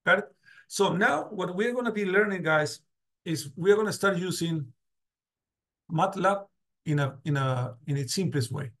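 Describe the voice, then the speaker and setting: A man's voice: sits high, moderate pace, fairly bright tone. A man lecturing a class on a video call, restarting words and often checking "got it?". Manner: many restarts, asks "got it?" and "do you agree?" often. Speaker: university lecturer.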